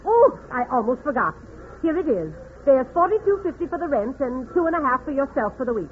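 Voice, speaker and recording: A woman's voice: high-pitched, sing-song, a little fast. A woman playing a bossy, nagging wife in a 1940s radio comedy, scolding and commanding. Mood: brisk, bossy. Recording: tinny, faintly noisy 1940s radio recording.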